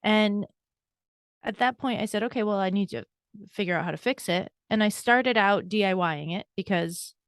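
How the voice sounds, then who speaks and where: A woman in her forties talks through her own story as a podcast guest, candid and brisk, with a self-deprecating edge. Her voice sits at an ordinary middle pitch. The sound is dry and close, with no room around it. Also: no room sound. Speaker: guest, burnout coach and speaker. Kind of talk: podcast interview, conversational storytelling.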